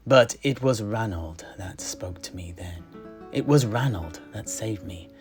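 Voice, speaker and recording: A man playing a tavern keeper priest in a fantasy tale, recounting his adventures with deliberate, theatrical phrasing and hushed emphases. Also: sits low. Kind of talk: in-character fantasy storytelling. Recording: music behind the voice. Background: plucked guitar music.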